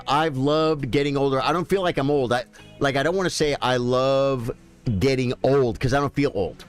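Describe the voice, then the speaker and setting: A man in his late fifties, a chess streamer chatting casually and folksily with his viewers. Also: medium pitch. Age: 50s. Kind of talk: casual live-stream chat.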